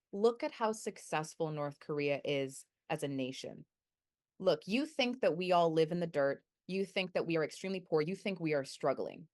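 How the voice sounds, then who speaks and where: Young woman researcher, slightly low pitch, careful and measured delivery, corrects herself mid-sentence. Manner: thoughtful, measured, self-correcting. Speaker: guest researcher. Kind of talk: academic interview answers.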